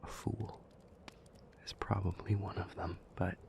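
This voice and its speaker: A man playing a weary, anguished demon in an intimate audio roleplay, pleading haltingly in a breathy near-whisper. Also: low-pitched, little pitch movement, exaggerated voice. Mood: weary, resigned. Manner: halting, slow, hushed delivery with long pauses.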